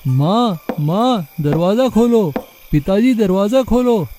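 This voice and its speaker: A man voicing a lazy, carefree young village son in an animated moral story. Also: high-pitched, slow. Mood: pleading.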